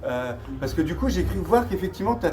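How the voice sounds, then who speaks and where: A man's voice: high-pitched, very animated, fast, over music. A man in his thirties chatting casually as an interviewer, in a hesitant, wandering way. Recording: noisy live event ambience.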